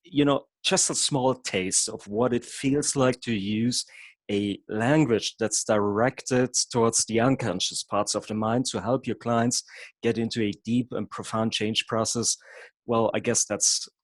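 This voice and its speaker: A man's voice: medium pitch, steady tone, measured pace, no room sound. A man from Germany with a German accent, a hypnotherapist presenting an online workshop in an explanatory way, with occasional stumbles over words. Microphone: video call.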